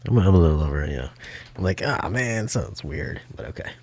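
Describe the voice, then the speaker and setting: A man's voice: sits low, lively intonation, brisk, a little mellow. A man hosting a casual interview, speaking informally in short reactions and questions. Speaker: host.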